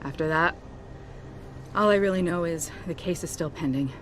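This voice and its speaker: A woman's voice: fairly low pitch, moderate pace, lightly acted. Woman acting as confident, candid pilot over engine noise. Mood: frank, matter-of-fact. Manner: casual, frank. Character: confident friendly young female company pilot, candid. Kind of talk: video game voice acting.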